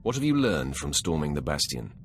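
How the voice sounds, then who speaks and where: An actor playing a stern Sith master in a video game, speaking with measured, formal authority. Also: male voice, a bass voice, very animated, slight background noise. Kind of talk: dramatic video game voice acting.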